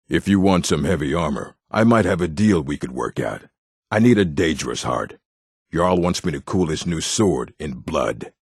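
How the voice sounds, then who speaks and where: A man voicing a gruff, proud orc warrior and smith in a fantasy video game, blunt and stern, with a gravelly, husky voice. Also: extremely low pitch, no echo, clean studio sound, exaggerated voice. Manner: blunt, stern, clipped phrasing.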